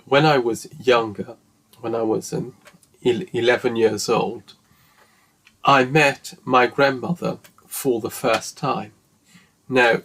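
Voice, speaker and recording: A man in his forties speaks slowly, with a mid-pitched voice, and he is earnest. He hosts his own podcast as a solo monologue. He pauses often with ums and restarts his sentences. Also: fairly bright tone, slight background noise, rough recording. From English-speaking, living in France. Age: 40s. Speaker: podcast host.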